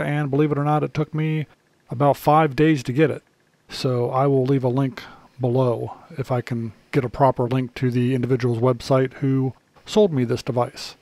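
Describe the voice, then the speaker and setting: Casual American male presenter, mid-pitched and matter-of-fact, unscripted demo delivery, dry close recording. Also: a little mellow, no echo. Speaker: product reviewer and presenter. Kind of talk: casual hands-on product demonstration.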